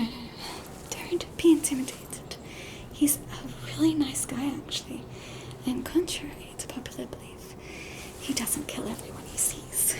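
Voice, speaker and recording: An Australian woman whispers in a high, delicate register. She plays a polite receptionist nurse at a horror hospital, speaking slowly and soothingly. It is a scripted ASMR roleplay with a reassuring manner. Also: rough recording. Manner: softly whispered, slow, reassuring.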